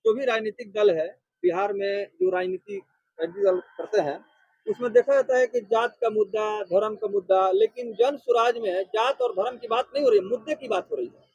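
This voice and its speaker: A man working as a reporter, putting halting, roundabout questions in a political interview. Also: sits high, a little mellow, husky, no echo, muffled recording. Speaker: interviewer, local news reporter.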